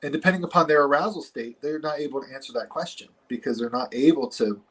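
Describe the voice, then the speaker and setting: A man's voice: fairly high pitch, quick pace, a bit bright, breathy edge. A man in his forties, a counselor, explaining ideas in a casual conversation with a reflective, hesitant manner. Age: 40s.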